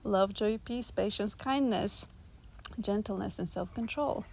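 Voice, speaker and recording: Female interview guest from Romania, mid-pitched, earnest and reflective, prone to laughing as she talks. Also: unhurried, gravelly, darkish tone. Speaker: guest, artist. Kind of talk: reflective personal conversation.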